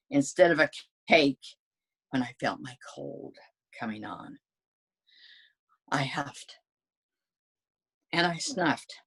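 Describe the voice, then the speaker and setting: A woman's voice: sits low, slightly rough, exaggerated voice. A woman reading as a wily male wolf who pleads his innocence in folksy, self-justifying storybook narration. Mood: aggrieved.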